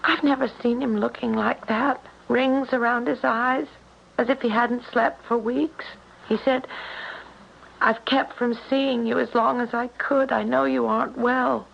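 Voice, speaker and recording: An earnest, ailing young woman, with a highish voice full of creak. She is wistful but steadfast, on a muffled seventies drama recording with faint noise. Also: fairly even intonation, measured pace. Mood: troubled. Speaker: radio drama actress. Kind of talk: 1970s radio drama acting. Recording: dull, noisy 1970s radio recording.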